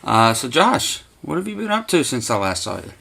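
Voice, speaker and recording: A man's voice: mid-range voice, very animated, normal speed, creaky, pushed and bright. A man in his thirties with a Southern American accent, co-hosting a casual podcast chat in a home man cave, upbeat and joking. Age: 30s. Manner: upbeat, joking, laughs often. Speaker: podcast co-host.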